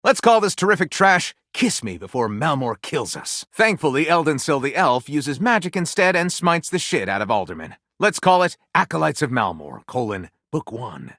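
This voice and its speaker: An excitable, flamboyant male literary critic from a video game. His voice swoops dramatically across a wide range and rings bright and punchy. He makes grand, playful announcements in a theatrical style, recorded very dry and close. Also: mid-range voice, no echo. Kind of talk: theatrical scripted game dialogue.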